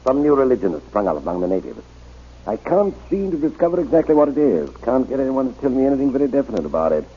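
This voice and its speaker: A man's voice: mid-range voice, sing-song, fast, slightly rough. An actor playing a pious, resolute middle-aged missionary reverend in a radio adventure serial, earnest and formal in his phrasing. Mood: grave, concerned. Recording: muffled old radio broadcast recording.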